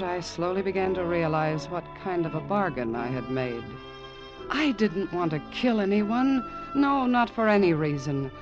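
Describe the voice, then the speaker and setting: An actor playing an earnest, doomed young man, confiding his story in measured, heartfelt vintage radio narration. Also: high voice. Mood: earnest, stirred. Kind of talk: dramatic first-person narration, vintage radio. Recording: muffled vintage radio recording. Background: prominent dramatic music.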